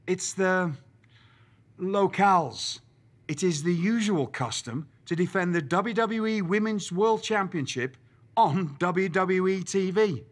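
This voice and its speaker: An actor playing a male wrestling general manager who speaks in a dry, deliberate, formal way. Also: high-pitched. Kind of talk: video game cutscene acting.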